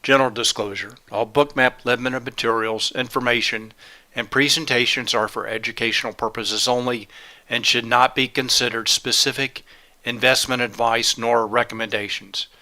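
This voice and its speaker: Bright-voiced man presenting, slightly congested. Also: mid-range voice, steady tone, normal speed. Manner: methodical, lists steps and items plainly. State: congested with a cold, sore throat. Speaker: trading presenter. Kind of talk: live educational webinar presentation.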